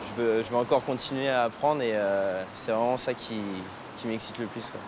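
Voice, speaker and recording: A man's voice: medium pitch, moderate pace. A man in his twenties talking casually and candidly as a new pastry employee, outdoors in the city. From France. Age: 20s. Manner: relaxed, candid, chatty. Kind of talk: casual interview. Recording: outdoor street noise.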